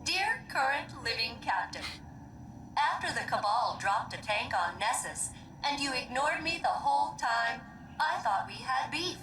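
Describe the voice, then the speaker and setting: A female ship computer for a video game, with very mobile pitch. It shifts between bright official politeness and a flat, lonely, wry mumble. Clear music plays behind it. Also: measured pace, recorded from a distance, full character voice, rough recording. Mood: chirpy, formal. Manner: swings from bright announcements to flat, hesitant mumbling. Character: female ship AI, chirpy formal mode flipping to weary, wry, lonely candor. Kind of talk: scripted video game dialogue.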